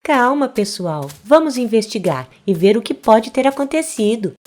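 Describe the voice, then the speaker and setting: A woman voicing a cheerful, reassuring young witch girl in a children's cartoon, expressive and soothing, with music behind. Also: a little high, moderate pace, a little hiss or hum.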